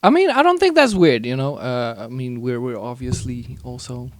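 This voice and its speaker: A man's voice: mid-range voice, sing-song, moderate pace. A man from the Netherlands hosting a casual chat show, hesitant with dry jokes. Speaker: host.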